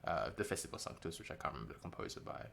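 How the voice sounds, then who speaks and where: A man's voice: very deep voice, sing-song, rapid-fire, gravelly, fairly bright tone, slight background noise. A man with a light New Zealand English accent, chatting casually and wryly on a live stream, often correcting himself. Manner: rambling, self-correcting, wry. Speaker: indie game developer streaming a devlog.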